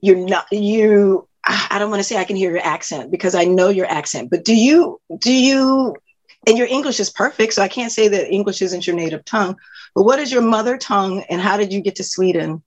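A woman's voice: medium pitch, brisk, highs cut off. A woman hosting an interview, asking long questions with many restarts. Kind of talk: interview conversation.

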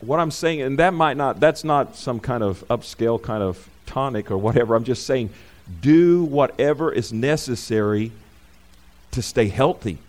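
A man preaching informally to a congregation, rambling and correcting himself, asking for amens and rising loud and high when he drives a point home. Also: normal speed. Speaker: pastor.

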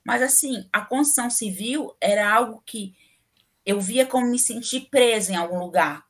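A woman's voice: on the high side, normal speed, a bit bright. A middle-aged woman from southern Brazil with a southern Brazilian accent, a civil engineering professor speaking as a guest in a casual interview. She is chatty and opinionated, and her talk is full of fillers. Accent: southern Brazilian Portuguese.

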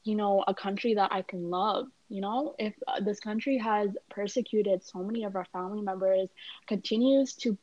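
A woman's voice: medium pitch, moderate pace, darkish tone, no echo, muffled recording. A young woman, a student, in a casual conversation, talking in a chatty way full of "like" and "you know.". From Canada.

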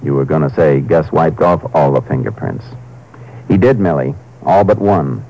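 An actor playing a calm, firm Texas Ranger in a 1950s radio crime drama, terse and dryly persistent. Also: male voice, extremely low pitch, creaky, heavily acted. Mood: confident, pressing. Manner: terse, unhurried questioning, dry. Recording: muffled, hissy 1950s radio recording.

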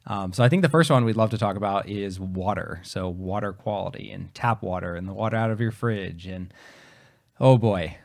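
A man's voice: on the low side, normal speed, gravelly. A man co-hosting a podcast in casual chat, explaining things step by step, his voice just recovering after he lost it. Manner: explanatory, many fillers and restarts. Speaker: podcast co-host.

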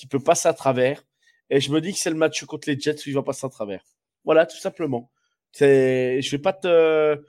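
A man's voice: fairly high pitch, darkish tone. A man co-hosting a sports podcast, stuffy with a cold, rambling through his picks. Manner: rambling, many fillers and restarts. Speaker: podcast co-host. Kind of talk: casual sports chat.

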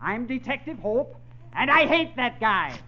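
A man's voice: extremely high pitch, big pitch swings, measured pace, full character voice. A man playing a dying, bragging detective in a 1930s radio comedy sketch. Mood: melodramatic. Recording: noisy, muffled old radio recording.

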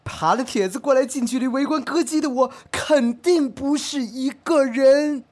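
Woman acting a high-voiced teasing fangirl. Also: normal speed, a little mellow. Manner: teasing, theatrical. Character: teasing young female online fan. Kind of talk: online radio drama acting.

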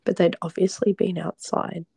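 Woman, whispering, breathy, gravelly, dry close sound, halting restarts, shaken. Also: lowish voice, little pitch movement, mellow, dry recording. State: shaken and trembling. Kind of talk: whispered confessional conversation.

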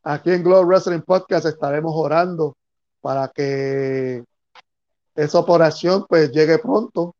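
A high-voiced man hosts a casual podcast interview. He asks questions and drops brief encouraging interjections, heard through a slightly dull, lo-fi recording. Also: unhurried, darkish tone.

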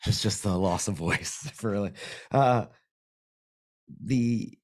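Hoarse male guest from Florida, very low voice; relaxed, rambling studio interview talk. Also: slow pace, no echo. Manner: easygoing, rambling, says "man" often. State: losing his voice. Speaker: podcast guest. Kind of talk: casual interview chat. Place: podcast studio.

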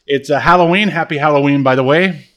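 A man's voice: on the high side, fast talker, fairly bright tone. A man co-hosting a podcast in casual chat, with a playfully grandiose, joking way of talking. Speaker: podcast co-host.

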